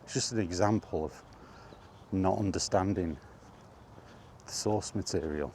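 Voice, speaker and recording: A man's voice: sits low, a little slow, a little mellow, slight background noise. A man talking casually while out on a walk, rambling and correcting himself, getting worked up over his point. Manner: rambling, self-correcting, grows exasperated. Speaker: vlogger. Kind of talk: casual walking monologue. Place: outdoors on a walk.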